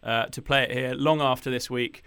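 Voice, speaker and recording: A man's voice: medium pitch, steady tone, normal speed, fairly bright tone, a little hiss or hum. A man hosting a radio interview, asking friendly, rambling questions with many ums. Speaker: radio presenter.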